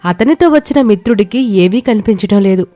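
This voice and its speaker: A woman's voice: medium pitch, animated, a little fast, warm tone, no room sound. A woman reading a scripted story as a narrator. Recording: music bed behind the voice.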